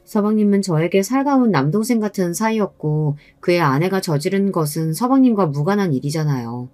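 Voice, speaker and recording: Low-voiced woman narrator, dry sound. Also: expressive, brisk, darkish tone, no echo, faint music behind. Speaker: story narrator. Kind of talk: scripted first-person story narration.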